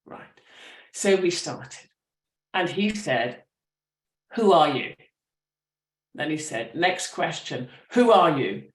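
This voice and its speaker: A woman, a writer and teacher, talking through her ideas with animated thoughtfulness and many restarts at a public talk. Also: low-pitched, slow, pauses often. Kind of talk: conversational storytelling. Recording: roomy echo.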